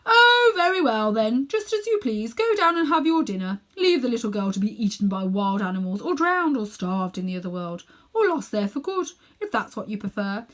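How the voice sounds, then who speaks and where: A woman's voice: fairly high pitch, very animated, moderate pace, hardly any pauses, a bit bright. Woman acting a haughty, sinister old uncle. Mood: scornful, goading. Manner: haughty, condescending, coolly smug. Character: sinister, cruel, pompous old uncle and amateur magician. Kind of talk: children's storybook reading.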